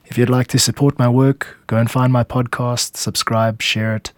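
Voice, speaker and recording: A man's voice: medium pitch, level tone, moderate pace, dry recording, studio quality. A man narrating a scripted podcast as its host, explaining how music is made.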